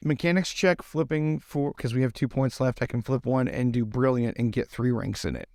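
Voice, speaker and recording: A man's voice: mid-range voice, normal speed, dark tone, no room sound, clean studio sound. A man playing a tabletop roleplaying game, talking casually and improvising as he goes.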